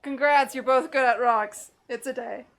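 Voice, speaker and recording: A woman's voice: high voice, normal speed, a bit bright. A woman giving casual, joking commentary while playing a video game. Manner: joking, voices mock quotes. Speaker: gaming commentator. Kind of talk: casual playful gameplay commentary.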